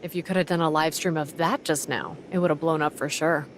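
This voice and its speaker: A woman's voice: deep voice, fairly even intonation, a little fast, slight background noise, close to an everyday voice. A woman voicing a composed, wry young pirate in an anime game, with a dry manner.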